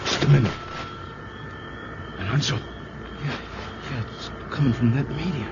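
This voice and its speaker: An actor playing a matter-of-fact deputy in a 1950s radio drama. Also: male voice, medium pitch, a little slow, heavy background noise, natural voice. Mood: wary. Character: watchful male deputy. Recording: muffled vintage radio broadcast, night ambience.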